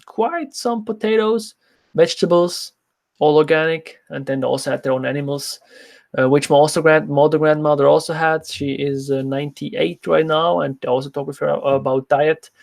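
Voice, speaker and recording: Young male livestream coach, non-native English speaker, slightly high voice, informal Q&A delivery. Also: moderate pace, vocal fry. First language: not English, speaks French as a second language. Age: young adult. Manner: informal, reads out questions then answers. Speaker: nutrition coach, livestream host. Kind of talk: casual livestream Q&A, answering viewer questions.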